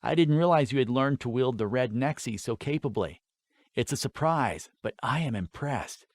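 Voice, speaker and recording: A man voicing a stern, battle-seasoned father who lectures in a measured, formal way in a fantasy audiobook. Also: mid-range voice, animated, dry recording, lightly acted. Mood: stern instruction.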